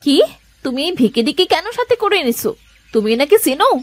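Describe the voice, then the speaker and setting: A woman voicing a sharp-tongued but caring village wife in a cartoon, with expressive domestic-drama delivery. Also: sits high, a little fast, no echo. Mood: indignant. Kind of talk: Bengali moral-story cartoon dubbing.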